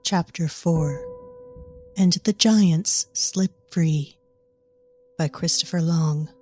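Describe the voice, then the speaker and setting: Brooding female storyteller, lowish pitch, breathy, dramatic audio drama narration, unhurried. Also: darkish tone. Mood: brooding, hushed. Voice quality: breathy, husky, near whisper at times. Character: brooding, haunted female storyteller of dark fantasy. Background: music.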